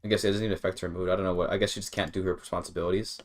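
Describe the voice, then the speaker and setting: A man's voice: sits low, flat delivery, very fast. A man giving casual live gameplay commentary, rambling and repeating words as he thinks aloud. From Canada. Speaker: game streamer.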